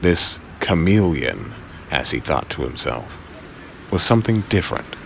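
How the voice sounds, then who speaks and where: A man narrating an audio drama in a suspenseful style, with deliberate phrasing and dramatic pauses. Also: sits low, sing-song, gravelly, a bit bright, a little hiss or hum. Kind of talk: dramatic scripted story narration.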